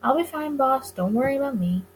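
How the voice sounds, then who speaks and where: Loyal, playful detective read by a woman, earnest and compassionate, higher voice, noisy recording. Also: expressive, brisk. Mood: reassuring.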